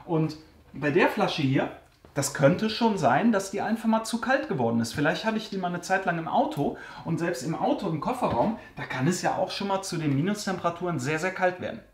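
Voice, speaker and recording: Casual male video host, fairly high-pitched, rambling Q&A delivery, in a reverberant cellar. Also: expressive, brisk. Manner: chatty, hesitant fillers, joking asides. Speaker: car care channel host. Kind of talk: casual Q&A answering viewer questions. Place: basement storage room.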